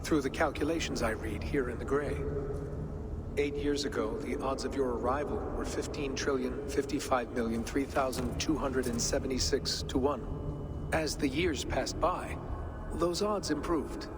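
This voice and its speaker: Man as calm otherworldly seer, noisy ambience. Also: medium pitch, normal speed, close to an everyday voice. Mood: serene, welcoming. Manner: precise, composed, formal. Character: calm, otherworldly man who reads possible futures. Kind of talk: video game cutscene acting. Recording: clear ambient noise behind voice.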